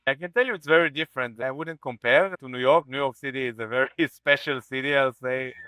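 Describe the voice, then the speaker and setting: A man's voice: medium pitch, brisk, sharp tone, clear, resonant tone, dry recording. A man from Israel, a startup founder, answering interview questions in a thoughtful, reflective way. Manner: thoughtful, reflective, with frequent restarts. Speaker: startup CEO guest. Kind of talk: podcast interview.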